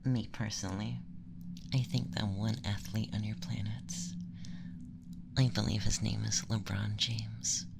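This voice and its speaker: Man playing a whispering, unhurried alien femboy. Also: on the low side, level tone, noisy background. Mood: gently explaining. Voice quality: soft, breathy whisper. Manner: deliberate, gentle, questionnaire-like delivery with pauses. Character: soft-spoken curious alien femboy conducting a formal assessment. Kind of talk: ASMR roleplay, close soft speech and whispering.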